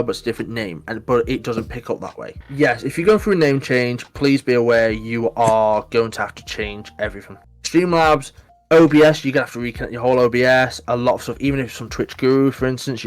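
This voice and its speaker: A man, a podcast guest chatting casually, animated and emphatic, giving advice in short punchy bursts. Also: mid-range voice. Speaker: podcast guest, Twitch mod.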